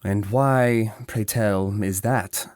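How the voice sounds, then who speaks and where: A man's voice: fairly low pitch, slow, darkish tone, no echo, clean studio sound. A man playing a reserved, dutiful knight in a fantasy audio drama, terse, guarded and formal. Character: reserved, dutiful male knight, shyly devoted.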